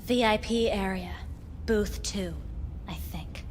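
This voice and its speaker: A woman's voice: medium pitch, takes it slowly, close to an everyday voice. An actress playing a bored, dismissive female club worker in a video game, offhand in manner. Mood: irritated, offhand. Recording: echoing room, faint background noise.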